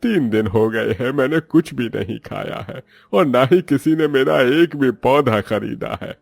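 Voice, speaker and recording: Male actor doing a humble elderly peddler, husky, gravelly, cartoon storytelling, music behind. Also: mid-range voice, animated, unhurried, darkish tone, no room sound. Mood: pleading. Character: hungry, poor, kindly old male plant seller. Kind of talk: Hindi cartoon moral-story voice acting.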